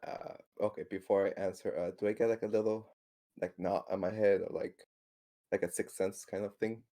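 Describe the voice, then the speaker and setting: Halting, low-voiced mellow man. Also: fairly even intonation. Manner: halting, stammering, repeats words. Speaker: tabletop game player. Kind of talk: casual in-game chat.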